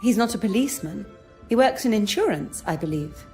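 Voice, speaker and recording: A woman acts a self-possessed society lady in a mystery game. Her voice is mid-pitched and articulate, her manner polite and composed, with faint music underneath. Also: animated, fairly quick, a little mellow, natural voice. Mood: curt. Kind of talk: video game voice acting.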